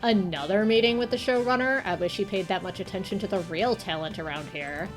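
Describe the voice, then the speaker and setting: A woman puts on a vain, spiteful diva from a visual novel game. She drawls with sneering contempt at a middling pitch, over background music. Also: fairly quick, natural voice. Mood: snide. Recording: music behind the voice.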